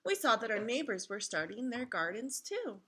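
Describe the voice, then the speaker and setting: A woman reading a children's storybook aloud in an expressive, instructive way, like a teacher engaging young listeners. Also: a little high, moderate pace, slightly rough.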